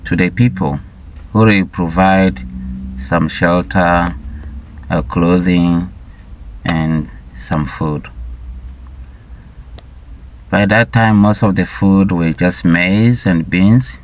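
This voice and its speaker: A man from Rwanda speaks slowly in a very low voice, telling his personal story with halting, deliberate phrasing and repeated words. The recording sounds dull and lo-fi. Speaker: vlogger sharing his life story.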